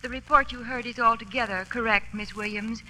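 A woman's voice: on the high side, fairly even intonation, brisk, bright, forceful tone, soft background music, low-quality recording. A woman acting a genteel, formal young heiress in an old-time radio drama. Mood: gracious, composed. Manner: formal, proper. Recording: faint noise on old radio recording.

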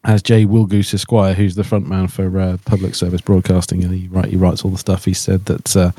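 Low-voiced, halting man, mellow tone. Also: monotone, slightly rough, no echo. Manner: hesitant, halting, often repeating himself. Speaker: podcast co-host. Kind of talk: casual podcast chat.

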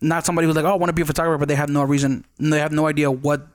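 A man's voice: a little high, level tone, rapid-fire, no echo. A man hosting a casual podcast conversation, talking in a rambling way and tagging his points with "right?".

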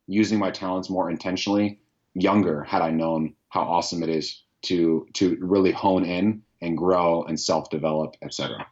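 A man with a deep voice answering interview questions in an earnest, reflective way, thinking aloud. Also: steady tone, normal speed, a little mellow, lo-fi sound. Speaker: podcast host, guest here.

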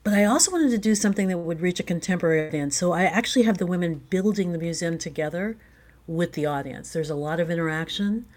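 Woman, low register, interview guest, reflective, conversational delivery. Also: expressive, fast, a little mellow. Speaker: guest, playwright. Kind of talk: conversational interview about own creative work.

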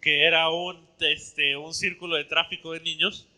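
A man interpreting a sermon phrase by phrase into Spanish, following each line closely and sometimes pausing with fillers. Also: fairly high pitch, flat delivery, bright, forceful tone.

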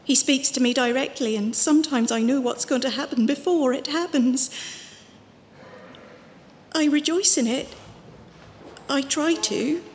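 A woman's voice: high voice, a little slow, long pauses, a little mellow. A woman acting in an audio drama as a fervent, fearful wandering visionary, speaking with earnest, pious emotion. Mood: fervent, troubled. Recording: reverberant hall, faint background noise.

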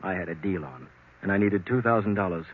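A man's voice: sits low, expressive, brisk, slightly rough. An actor playing a weary, kindly older man with a hesitant, melancholy manner in a 1940s style radio mystery. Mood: defeated, resigned. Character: weary, kindly, defeated old uncle. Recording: tinny, narrow vintage radio recording.

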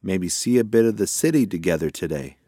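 Man playing a kindly old uncle mouse, lively intonation. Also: sits low, a little fast, no room sound, clean studio sound. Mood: warm, wistful. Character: kindly, frail elderly male mouse great-uncle. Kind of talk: children's storybook character voice.

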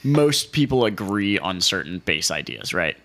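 Male podcast co-host, lowish pitch, slangy and informal, chatting casually and jokingly. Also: very animated, measured pace, a bit bright. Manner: informal slangy speech, says "dude" and "like" often. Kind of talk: casual comedic podcast chat.

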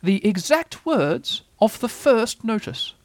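A storybook owl with a raised, high voice. He is pompous and nervous, pretending to be wise. His phrases falter and wander as he bluffs. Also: sing-song, takes it slowly, dry recording, heavily acted. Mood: grave, insistent. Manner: hesitant, faltering, evasive bluffing. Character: nervous pompous owl feigning wisdom, male. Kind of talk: storybook character voice.

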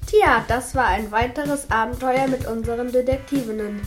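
Female narrator, fairly high voice, scripted amateur radio play storytelling. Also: moderate pace, soft background music.

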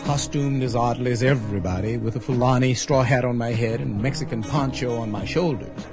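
A man's voice: medium pitch, fairly quick, over music, lightly acted. An actor playing a wry, skeptical artist who recounts his story in colloquial first-person radio drama narration. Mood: wry, reluctant.

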